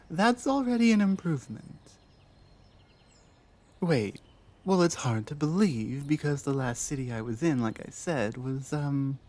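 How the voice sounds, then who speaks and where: A woman acts out a lost female orc in an intimate audio roleplay. The orc speaks slowly and hesitantly, tripping over restarts. She is timid and guarded, an outcast wary of strangers. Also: sits low, mellow. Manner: hesitant, halting, with many stammered restarts. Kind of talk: roleplay audio drama, ASMR.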